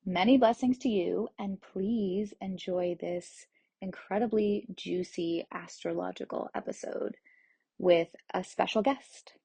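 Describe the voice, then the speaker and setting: A woman hosting a podcast, reading a calm and earnest announcement about the show. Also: medium pitch, a little slow, creaky, husky, dry recording. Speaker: podcast host.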